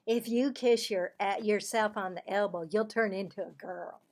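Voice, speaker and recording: A woman voicing a bossy, scornful schoolgirl in a storybook, with loud, emphatic, taunting delivery. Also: mid-range voice, normal speed, lightly acted. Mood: insistent.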